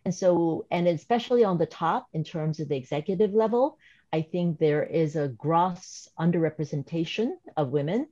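A woman in her fifties from South Korea with a slight Korean accent, speaking as a professor on a webinar panel in a measured, thoughtful way. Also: on the low side, mellow, dry recording. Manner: measured, thoughtful, some restarts. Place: home or office video call. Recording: dry, slightly dull video-call audio.

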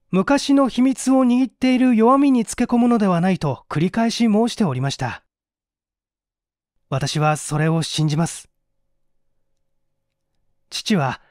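A man's voice: high-pitched, a little mellow, no room sound, studio quality. A man voicing an earnest, dutiful young man who speaks politely and formally in a dramatized story reading. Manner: formal, earnest, emphatic phrasing.

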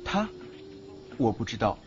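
A man as a lively lovestruck college boy. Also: fairly low pitch, measured pace. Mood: earnest, wistful. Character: lovestruck, cheeky young male college student. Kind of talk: Chinese audio drama acting. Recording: muffled lo-fi, faint noise.

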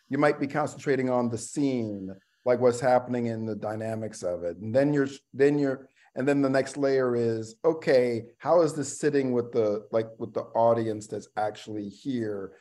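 A middle-aged man talks casually as a guest on a video call. His pitch is average, and he feels his way through explanations, often checking that he is understood. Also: moderate pace, mellow. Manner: searching, checks in with "right?" and "you know". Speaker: actor, panel guest. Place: indoor room on a video call.

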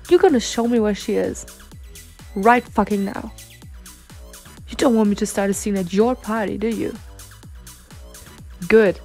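Protective twin sister for ASMR roleplay, played by a woman: sweary, exasperated yet caring, unhurried, fairly high voice. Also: darkish tone, slightly rough, heavily acted. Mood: threatening. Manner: sweary, sighing, half-muttering. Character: protective, exasperated, foul-mouthed twin sister, tender toward her girlfriend. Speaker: roleplay voice actor.

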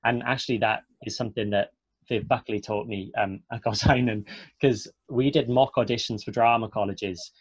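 A man's voice: lowish voice, steady tone, normal speed, slightly rough, no echo. A young Welsh man with a Welsh accent, an actor chatting on a video call, talking in loose runs full of fillers and restarts. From Wales. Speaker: actor, guest speaker. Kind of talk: casual video-call interview. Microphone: earphone mic on a video call. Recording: dry, slightly dull video-call audio.

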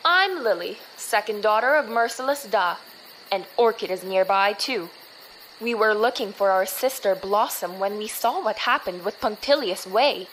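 A woman's voice: mid-range voice, lively intonation, normal speed, fairly bright tone, a little hiss or hum, close to an everyday voice, rough recording. A woman playing a fierce, protective young swordswoman in an audio drama, commanding and clipped. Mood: threatening, accusing.

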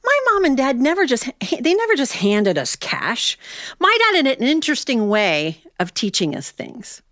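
A woman's voice: high-pitched, wildly swooping pitch, moderate pace, a bit bright, no room sound, clean studio sound. A middle-aged American woman, a storyteller telling a personal tale live to an audience. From Ohio, United States.

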